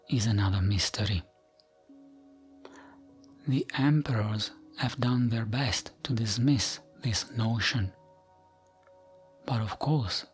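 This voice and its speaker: A man reading game lore as gentle, soothing ASMR narration, soft-spoken and breathy at the edges, pausing often between phrases. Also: mid-range voice. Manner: gentle, soothing, measured with frequent pauses. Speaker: narrator of gaming lore videos. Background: background music.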